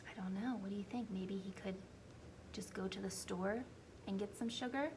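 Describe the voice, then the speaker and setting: A woman's voice: mid-range voice, steady tone, moderate pace, noisy background. A woman preschool teacher explaining a story simply and prompting young children with questions during a read-aloud.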